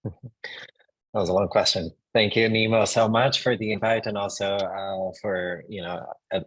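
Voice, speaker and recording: A man who runs education ventures introducing himself on a panel, warm and hesitant, with many "uh"s. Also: fairly low pitch, steady tone, moderate pace, no room sound, rough recording.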